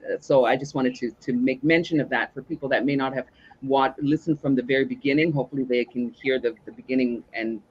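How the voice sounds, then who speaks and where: A husky-voiced man speaking as a cast member in an interview, earnest and hesitant as he searches for words, on a lo-fi recording. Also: medium pitch, steady tone, quick pace, slight background noise. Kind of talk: conversational interview talk. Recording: dull lo-fi connection.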